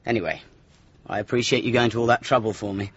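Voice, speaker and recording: A man's voice: lowish voice, steady tone, fast, no echo, dull audio, natural voice. An actor playing a wry, frank young son who teases his father in a British radio comedy-drama, with a dry, direct delivery. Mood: wry, teasing. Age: young adult.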